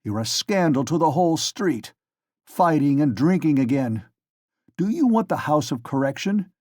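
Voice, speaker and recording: A man voicing an enraged, pompous police official who shouts and blusters. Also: on the high side, big pitch swings, normal speed, dry recording, clean studio sound. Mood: furious.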